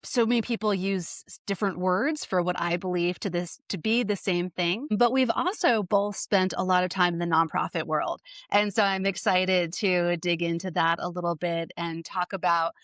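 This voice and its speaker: A woman's voice: fairly low pitch, fairly even intonation, normal speed, hardly any pauses, fairly bright tone, no room sound, clean studio sound. A woman hosting a podcast, reading a scripted introduction and then chatting with enthusiasm as an interviewer. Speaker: podcast host.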